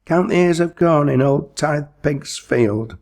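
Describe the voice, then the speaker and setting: A man's voice: fairly high pitch, measured pace, vocal fry, a little mellow, pitched above the performer's own voice. A man voicing a nervous, cunning schoolmaster wizard in a storybook, plaintive and exclamatory. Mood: eager. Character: terrified, cunning male schoolmaster wizard, quavering and pleading. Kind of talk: storybook character voice.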